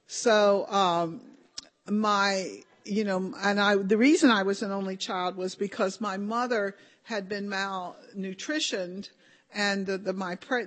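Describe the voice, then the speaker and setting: An older woman speaking at a conference, slightly hoarse with a deep voice for a woman, talking informally with many ums and restarts. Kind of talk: informal talk with prayer.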